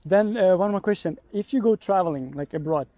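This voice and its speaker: High-voiced man interviewing on the street. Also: brisk, mellow, no room sound. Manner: friendly, laughs often, loose "like" phrasing. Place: outdoors on a street.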